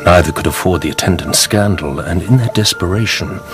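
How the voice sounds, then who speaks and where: A man playing a suave, mysterious Count with measured, courtly, insinuating speech in a radio drama. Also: low voice, music in the background, close to an everyday voice. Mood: ominous storytelling.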